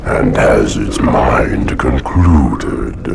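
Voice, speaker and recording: An actor playing a colossal ancient hive-mind monster in a video game, speaking in solemn, menacing riddles with a booming, gravelly voice. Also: male voice, lively intonation, heavy background noise, full character voice. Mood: solemn menace. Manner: slow, solemn, riddling speech. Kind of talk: theatrical video game cutscene acting. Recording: loud music behind the voice.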